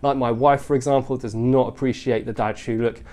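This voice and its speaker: A man's voice: mid-range voice, steady tone, fairly quick, warm tone. A man with a bunged-up, congested nose, giving an upbeat sneaker review vlog and often repeating "really, really nice". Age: adult father.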